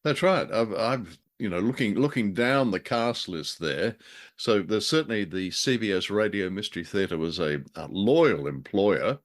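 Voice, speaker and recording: A man with a fairly low voice co-hosts a casual review show. He banters in a dry, understated way, often feeling his way through a phrase with small hesitations. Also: lively intonation, a little slow. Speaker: co-host of a show reviewing old radio dramas.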